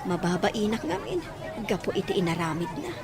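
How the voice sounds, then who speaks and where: A woman's voice: lowish voice, sing-song, brisk, a crowd in the background. A woman playing an ashamed, apologetic middle-aged parent in an audio drama. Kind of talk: Filipino audio drama dialogue in Ilocano.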